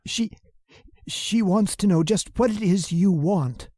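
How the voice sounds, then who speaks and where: A woman's voice: medium pitch, slow, mellow, husky. A woman playing a frightened, henpecked husband in a radio horror drama, stammering and hesitant, with a pleading manner. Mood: nervous. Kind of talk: old-time radio horror drama acting. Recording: reverberant hall sound.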